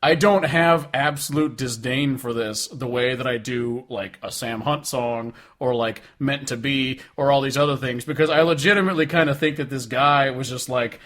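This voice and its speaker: A male podcast co-host with a mid-pitched voice gives opinions on music. He talks casually and bluntly, with plenty of swearing. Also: moderate pace, few pauses, fairly bright tone.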